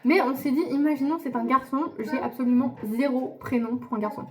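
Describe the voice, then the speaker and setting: A woman's voice: high voice, fairly quick, a crowd in the background. A young woman from France talking casually to camera in a diary vlog, chatty, with many fillers and restarts. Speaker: vlogger.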